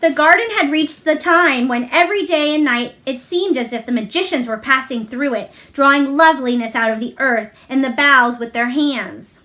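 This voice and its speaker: High-voiced woman reading a children's story. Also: moderate pace, few pauses, sharp tone. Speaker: storybook reader. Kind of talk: read-aloud children's story narration.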